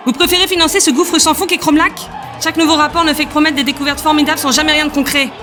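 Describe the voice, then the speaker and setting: A woman plays an earnest councilwoman in an audio drama. She has a high voice and speaks quickly, articulate and insistent, over faint music. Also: level tone, crowd noise, natural voice. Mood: indignant. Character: earnest, indignant woman council representative, passionate about monsters. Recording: music bed behind the voice.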